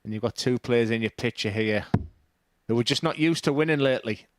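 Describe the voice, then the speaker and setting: A man's voice: medium pitch, a little fast. A man with a Dutch accent giving live darts commentary in a plain-spoken, analytical way.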